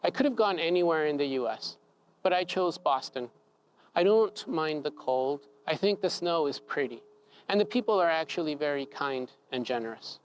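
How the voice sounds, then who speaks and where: A man calmly telling a personal story, measured and reflective. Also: on the high side, a little hiss or hum, rough recording. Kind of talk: personal story told live.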